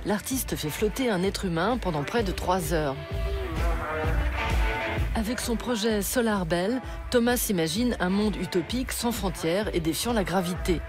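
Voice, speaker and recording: A woman's voice: mid-range voice, unhurried. A woman reading scripted documentary narration for a culture magazine, with a wry, playful touch. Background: music under the voice.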